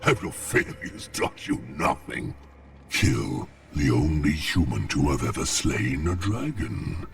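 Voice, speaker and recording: A man's voice: rumbling low, very animated, slow pace, a little hiss or hum, heavily acted. A man voicing a taunting, menacing dragon villain in a video game, with a growling, theatrical, mocking delivery. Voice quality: gravelly, breathy growl. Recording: music behind the voice. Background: clear music.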